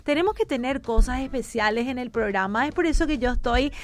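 A female radio host with a very high voice guides the interview with effusive, welcoming questions. She speaks expressively and at length as she introduces her guests. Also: fairly quick.

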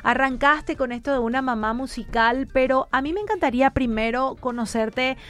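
A female radio host with a very high voice guides the interview with effusive, welcoming questions. She speaks expressively and at length as she introduces her guests. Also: moderate pace, fairly bright tone.

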